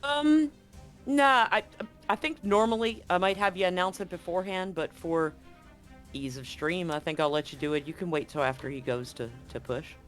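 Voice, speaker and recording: A man's voice: sits high, moderate pace, a bit bright, soft background music. A man acting as game master, narrating and chatting in an easygoing, informal way during a live tabletop game.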